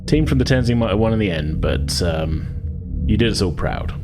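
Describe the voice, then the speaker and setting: A man's voice: lowish voice, expressive, moderate pace. A man playing an encouraging, informal starship commander in a science fiction audio drama, with music behind him. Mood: encouraging, authoritative.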